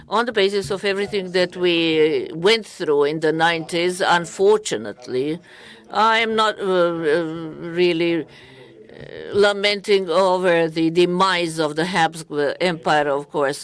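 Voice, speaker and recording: A woman interpreting a parliamentary speech live, with halting, deliberate phrasing broken into short pieces. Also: fairly low pitch.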